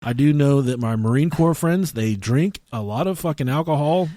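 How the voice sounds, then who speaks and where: A man's voice: a little high, animated, dark tone, no room sound. Guy cohosting a podcast, swears a lot, stumbles along telling stories casually, really dry sound. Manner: crude, profane, halting. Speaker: podcast co-host. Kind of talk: casual comic storytelling.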